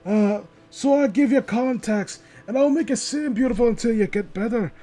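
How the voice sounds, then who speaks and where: Man putting on halting, high-pitched apologetic young killer. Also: dark tone, faint music behind. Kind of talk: horror story character reading.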